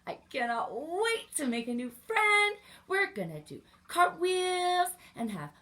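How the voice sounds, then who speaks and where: A woman voicing an excited, eager young girl bear in a storybook, exclaiming with exuberance. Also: very high-pitched, measured pace, pitched above the performer's own voice, full character voice.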